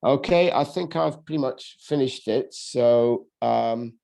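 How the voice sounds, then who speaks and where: A man lectures on history at an unhurried, slow pace, often stopping to restart his sentences. His voice is dark and mellow, sitting at an ordinary middle pitch. He talks in a loose, off-the-cuff way rather than reading. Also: gravelly. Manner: halting, many ums and restarts. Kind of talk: unscripted history talk. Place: lives in England.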